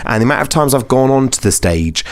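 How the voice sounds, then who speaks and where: A man's voice: mid-range voice, animated, fast talker. A man hosting a podcast in a casual, chatty interview style, giggly and often repeating phrases back. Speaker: podcast host; pantomime performer.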